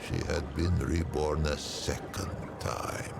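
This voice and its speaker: A man playing an ancient, learned non-human storyteller in a video game, narrating old lore in a stately, solemn, wry manner with archaic phrasing and deliberate pauses. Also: fairly even intonation, heavy background noise, full character voice. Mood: solemn storytelling. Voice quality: very deep, gravelly. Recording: music behind the voice. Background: prominent background music.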